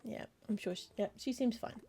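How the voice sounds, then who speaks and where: A woman's voice: a little high, fairly quick, mellow, husky. A woman chatting casually as she plays a cozy game, muttering through lists of book titles with wry asides. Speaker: streamer playing a cozy game. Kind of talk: casual gameplay commentary, reading game text aloud.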